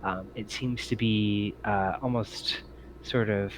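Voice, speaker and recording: Lowish-voiced halting male game master. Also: fairly even intonation, slight background noise, poor audio. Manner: halting, thinking aloud. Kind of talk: narrating a tabletop roleplaying game.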